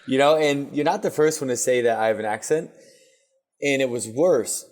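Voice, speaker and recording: A man's voice: mid-range voice, moderate pace, darkish tone. A man with a slight New York-like accent, a coach telling stories as a podcast guest in a loose, conversational way. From Milford. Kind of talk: casual interview storytelling.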